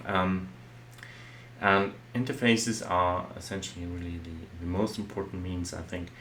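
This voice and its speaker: German man, low voice, software architect talking casually through slides, slight background hiss. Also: fairly even intonation, a little slow. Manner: loose and conversational, many "well" and "uh" fillers. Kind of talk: explanatory slide talk.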